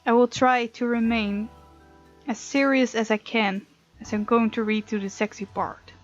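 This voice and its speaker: A woman's voice: fairly high pitch, fairly even intonation, normal speed. A woman hosting a gaming video, commenting as she plays and reads a visual novel aloud. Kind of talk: let's play commentary and dramatic reading aloud. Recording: muffled lo-fi mic, music underneath. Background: game music.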